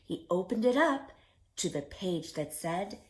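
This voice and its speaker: A woman reading a picture book aloud for preschoolers, expressive and speaking directly to her young listeners. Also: on the low side, measured pace. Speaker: children's story reader.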